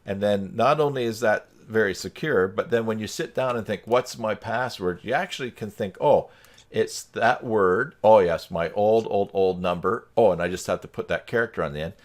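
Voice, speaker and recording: A man's voice: fairly low pitch, normal speed. A man hosting an informal live group video chat, talking in a casual, rambling way. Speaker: live stream host.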